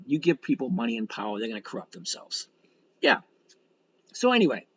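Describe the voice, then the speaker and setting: A sixty-year-old man with a gravelly, mid-pitched voice hosts a casual livestream. He rambles in a blunt, folksy way as he fields comments. The sound is a little dull, with faint noise behind him. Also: a bit bright. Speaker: livestream host and stock trader. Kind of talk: casual livestream chat, reading and answering comments.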